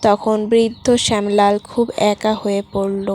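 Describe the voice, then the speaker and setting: A woman's voice: mid-range voice, level tone, moderate pace, darkish tone, dry recording, studio quality. A woman reading a scripted sad story as the narrator of a cartoon tale.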